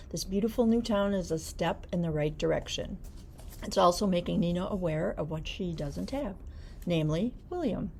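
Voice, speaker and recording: A woman's voice: on the low side, warm tone, slight background noise. A woman, a librarian, reading out book summaries and adding casual comments of her own. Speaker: librarian presenting book picks.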